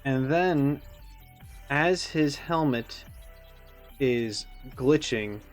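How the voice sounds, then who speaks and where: Man musing in unhurried, casual commentary. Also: medium pitch, expressive, faint music behind. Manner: musing, speculative. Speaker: YouTube reaction host.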